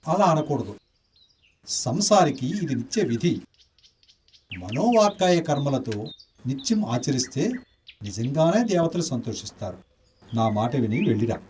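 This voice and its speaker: An actor playing a pious, dignified, impoverished gentleman in a radio play, earnest and lyrical. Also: medium pitch, very animated, normal speed, pauses often, far from the mic, a little hiss or hum, natural voice. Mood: pious, gently urging. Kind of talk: radio play acting in Telugu.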